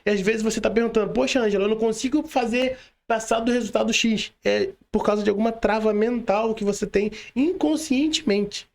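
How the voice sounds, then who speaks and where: High-pitched creaky Brazilian man hosting a podcast. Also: steady tone, brisk, few pauses. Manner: informal, slangy, repeats tag questions. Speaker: podcast host. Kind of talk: conversational online interview.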